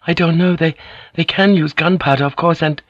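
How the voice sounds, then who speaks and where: A man's voice: a little high, fairly even intonation, brisk, no echo, lo-fi sound, voice pushed up, natural voice. A man voicing a desperate young noblewoman in an audiobook, pleading frantically in a raised, strained voice. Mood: frightened. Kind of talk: audiobook character voice.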